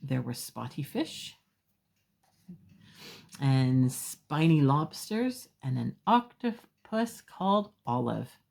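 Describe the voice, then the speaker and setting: Female picture-book reader, lower register, leisurely and expressive, speaking simply and emphatically to small children. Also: takes it slowly, creaky. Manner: simple, emphatic phrasing with small asides to young listeners. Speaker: children's storyteller.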